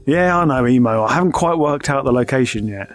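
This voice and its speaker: An actor playing an easygoing, shrewd space adventurer, speaking in a wry, conversational way. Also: male voice, medium pitch, animated, fairly quick, faint music behind, natural voice. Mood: defensive.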